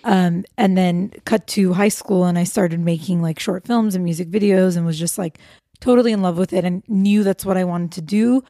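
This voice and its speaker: A woman's voice: fairly low pitch, normal speed, dark tone, dry recording, clean studio sound. A woman film producer from Los Angeles, chatting eagerly as a podcast guest, with many fillers and restarts. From Los Angeles, USA. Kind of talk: casual interview chat.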